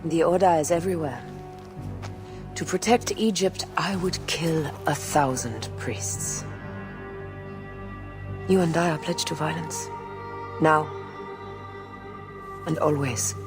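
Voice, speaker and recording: An actress playing a resolute, loving wife and avenger in a video game, earnest and measured. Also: female voice, low voice, music in the background. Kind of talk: dramatic video game dialogue.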